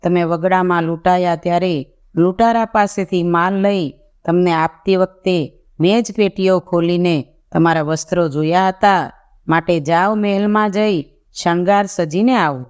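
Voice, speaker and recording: Narrator reading a saintly royal brother from a folk tale, caring but gently bossy, clear smooth voice. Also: medium pitch, steady tone, a little slow, lightly acted. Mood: probing, firm.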